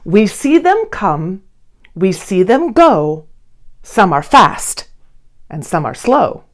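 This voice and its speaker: A woman in her thirties reading a rhyming children's picture book aloud in an expressive, theatrical manner with deliberate phrasing. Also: medium pitch. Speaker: children's storybook reader. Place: small indoor studio with blue backdrop.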